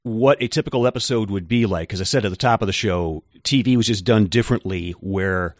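Brief: male co-host, lowish voice, measured explainer, dry close sound. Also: no room sound. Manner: measured, explanatory, some hesitant fillers. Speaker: podcast co-host. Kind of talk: casual chat.

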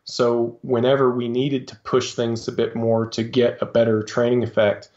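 A man talking as a guest on a podcast, explaining his coaching in a halting way with lots of fillers and restarts. His voice sits in a middle register, and the recording sounds a little dull and lo-fi. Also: mid-range voice, little pitch movement, a little mellow. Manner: hesitant, many fillers and restarts. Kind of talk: casual interview talk.

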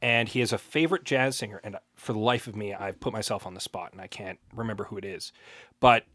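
A man co-hosting a podcast, telling things casually in a halting, self-aware way. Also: on the low side, fairly bright tone, dry recording. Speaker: podcast co-host.

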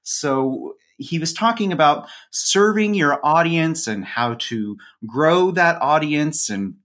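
A man speaking as a podcast guest, explaining things in a casual, measured way. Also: fairly high pitch, expressive, fairly bright tone. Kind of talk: casual interview chat.

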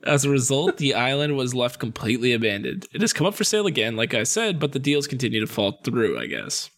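A man's voice: medium pitch, sing-song, fast talker, a bit bright. Casual American man, jokey podcast storyteller. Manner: slangy, jokey, playful. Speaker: podcast co-host. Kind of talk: casual comedic storytelling, reading a script with asides.

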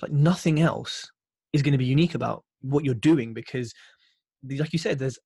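A man with a British accent chats as an interviewed podcaster. His voice is slightly husky and sounds close and dry, at a middling pitch. He speaks in an informal, emphatic conversational style. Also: moderate pace, darkish tone, no room sound. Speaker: podcast host interviewed as guest.

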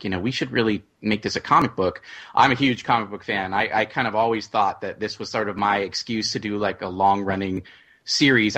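A man speaking casually and haltingly as a writer-producer guest in a panel discussion. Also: fairly low pitch, a bit bright.

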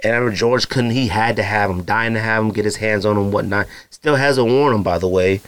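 A man in his thirties with a naturally deep voice, hosting a podcast in loose, slangy casual chat full of fillers and asides to his listeners. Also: fairly quick, smooth, no echo. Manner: feels hot and uncomfortable while recording. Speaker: podcast host. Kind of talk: casual solo podcast rambling.

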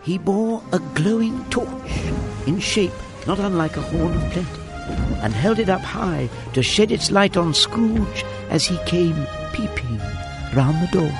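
A man's voice: sits high, expressive, measured pace, over music. A man narrating a classic story for a radio drama, relishing each detail with dramatic expression. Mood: wondrous, relishing.

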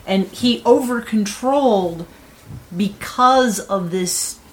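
A woman's voice: medium pitch, slow. A woman speaking informally as part of a casual group discussion.

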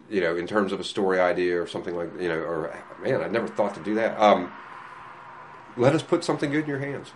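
A man's voice: fairly low pitch, a little hiss or hum. A man who runs a comic shop, chatting casually with many restarts and frequent laughs. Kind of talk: casual chat.

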